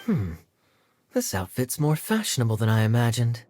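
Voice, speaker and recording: A man's voice: mid-range voice, very animated, normal speed, a little mellow, a little hiss or hum, natural voice. A man playing a suave, easygoing young character in a game cutscene, lightly teasing and obliging. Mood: wry, puzzled. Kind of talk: animated game cutscene acting.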